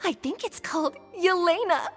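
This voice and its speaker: An actress playing an excited young townswoman gossiping in a video game, gushing and animated. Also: very high voice, normal speed, long reverb tail, soft background music.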